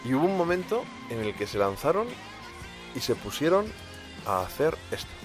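A man's voice: medium pitch, very animated, moderate pace. A man hosting a radio music show, chatting casually, teasing his co-host and talking up bands.

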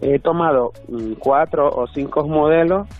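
A man's voice: fairly high pitch, unhurried. A man with a Dominican accent giving conversational answers as a guest in an interview. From Dominican Republic. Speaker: interview guest, artist and designer. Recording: thin phone-like band, faint music.

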